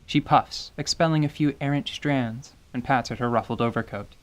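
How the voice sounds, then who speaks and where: A man's voice: medium pitch, moderate pace, clean tone. A man reading scripted dramatic narration for a fantasy tabletop story told as radio theatre.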